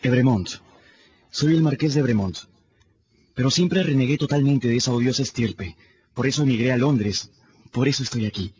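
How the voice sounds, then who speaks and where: A man's voice: mid-range voice, moderate pace, long pauses, a little mellow, lightly acted. An actor in a radio drama playing an earnest, principled young émigré nobleman, speaking formally. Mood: earnest confession. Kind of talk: Spanish-language radio drama acting. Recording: dull audio, faint noise.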